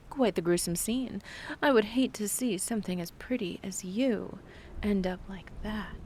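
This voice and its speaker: Slow, menacing vampire seductress, acted by a woman. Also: medium pitch, darkish tone, slight background noise. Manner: slow, taunting, theatrical, with endearments and breathy murmurs. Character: menacing ancient female vampire, condescending, seductive kidnapper. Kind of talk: ASMR roleplay acting.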